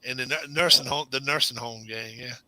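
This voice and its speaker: Bright-voiced joking man. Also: medium pitch, a little fast, no room sound. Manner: joking, swears freely, frequent restarts. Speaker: stream host. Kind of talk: casual banter during a racing league stream.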